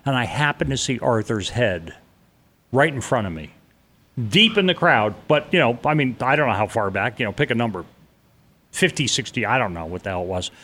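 A man's voice: mid-range voice, expressive, fairly quick, pushed and bright. A middle-aged man telling a personal story in an interview, blunt and vivid, with casual swearing. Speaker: interview guest.

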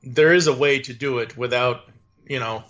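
Man, podcast host, casual chat, laughs a lot, mid pitch, dull lo-fi audio. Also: moderate pace, fairly bright tone. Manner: chatty, laughs often, rambles through plans.

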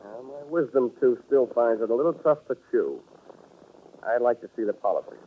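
An American actor playing a wisecracking, sardonic hard-boiled insurance investigator in a 1940s radio drama. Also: male voice, mid-range voice, lively intonation, moderate pace, slight background noise, lightly acted. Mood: sardonic, wary. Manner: dry, wisecracking. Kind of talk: 1940s radio drama acting, narrating and dialogue. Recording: tinny band-limited old radio broadcast.

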